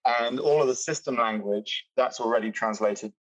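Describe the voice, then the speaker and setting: A British man, a software executive, explaining product features in a fluent, detailed, matter-of-fact way on a video call. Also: mid-range voice, normal speed, no echo, far from the mic, poor audio. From Britain.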